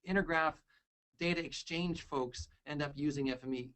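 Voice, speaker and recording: A man hosting a software webinar, upbeat and joking, enthusiastic as he talks with a colleague. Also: on the high side, normal speed, low-quality recording. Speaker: webinar host. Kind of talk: casual webinar chat.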